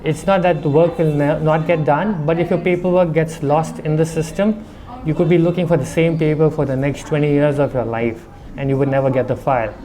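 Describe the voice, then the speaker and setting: A man's voice: on the high side, fairly even intonation, brisk, dark tone. A middle-aged man who runs a restaurant, giving conversational interview answers and explaining things at length, with a light accent. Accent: slight Indian-English with foreign lilt. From India. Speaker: restaurant owner.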